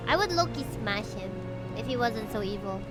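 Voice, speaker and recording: Female game streamer, higher voice, informal and slangy, light music behind. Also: expressive, normal speed. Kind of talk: casual live game commentary and chat.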